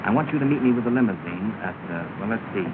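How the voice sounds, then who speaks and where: Male actor, smooth young suitor with designs, clipped early-talkie delivery, thin and noisy sound. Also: lowish voice, expressive, quick pace, close to an everyday voice. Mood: coolly confident. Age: young adult. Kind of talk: early 1930s film acting. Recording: noisy, tinny 1930 film soundtrack.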